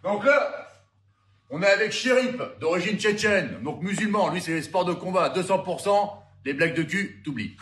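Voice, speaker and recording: A man's voice: high voice, lively intonation, moderate pace, reverberant. A man filming and introducing his friends in an old home video, in a rowdy, teasing way. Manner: rowdy, teasing, shouting jokes. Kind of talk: joking home-video commentary.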